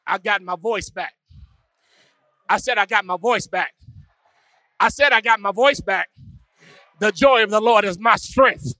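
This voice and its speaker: A pastor preaching a sermon with call-and-response, swinging from hushed musing to shouted, sung climaxes. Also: male voice, sits high, a little slow, long pauses, no room sound. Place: church.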